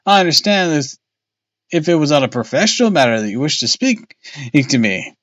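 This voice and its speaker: A man reading as a young engineer in a detective storybook. He is polite, cautious and earnest. His voice is a little high, with a close, dry sound. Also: big pitch swings, normal speed, fairly bright tone, dry recording, highs cut off.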